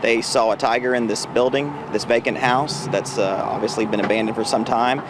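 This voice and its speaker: A man's voice: medium pitch, fairly even intonation, fairly quick. A man speaking as a police spokesman, giving a plain factual account in an on-scene press interview outdoors. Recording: outdoor urban and vehicle noise.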